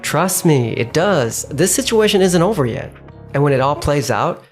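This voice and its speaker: A man's voice: a little high, expressive, moderate pace, faint music behind, lightly acted. A man playing a confident, supportive young colleague in a manga dub, assured and encouraging. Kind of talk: expressive manga dub voice acting.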